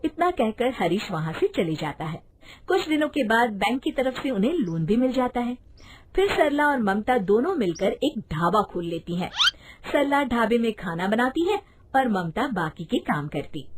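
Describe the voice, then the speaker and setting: A woman narrates a children's story in a scripted style. Her voice is high and lively in its movement. There is faint noise in the recording. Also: normal speed.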